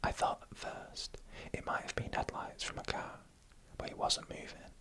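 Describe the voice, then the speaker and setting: A narrator reading eerie stories for ASMR in a breathy, unvoiced whisper, hushed and deliberate, phrase by phrase.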